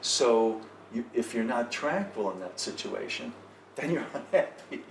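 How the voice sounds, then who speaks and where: A man's voice: medium pitch, expressive, measured pace, vocal fry. A man hosting a casual, reflective group conversation in a thoughtful, hesitant way. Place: cafe tea house. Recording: echoey room, slightly noisy, distant mic.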